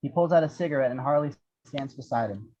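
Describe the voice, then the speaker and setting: A man's voice: mid-range voice, fast, mellow. A man reading scripted action descriptions as the narrator of an amateur table read, in muffled audio.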